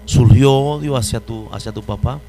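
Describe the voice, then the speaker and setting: A man, a pastor hosting an interview, calm and affirming. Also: mid-range voice, normal speed, soft background music.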